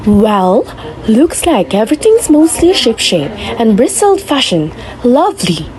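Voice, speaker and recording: A quaint old lady acted by a woman in a puppet show. The voice is chirpy, fairly high and swings up and down a great deal. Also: very animated, a little slow, darkish tone, slight background noise, poor audio.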